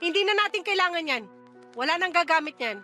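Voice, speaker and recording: A woman's voice: very high voice, expressive, fast, sharp tone, faint music behind, full character voice. A woman playing a bitter, exhausted mother who scolds and nags sarcastically in a radio-style drama.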